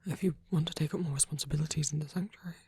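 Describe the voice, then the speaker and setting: A performer voicing a gentle, shy, protective male vampire priest in an intimate ASMR roleplay, soft and breathy, speaking in hesitant, tender phrases. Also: medium pitch, steady tone, very fast, dry recording. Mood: hesitant, hopeful.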